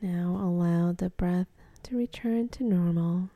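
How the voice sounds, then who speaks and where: Slow, soft, dark-voiced woman guiding meditation. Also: lowish voice, no room sound. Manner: soft, calm, breathy, half-whispered delivery with long pauses. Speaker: meditation guide.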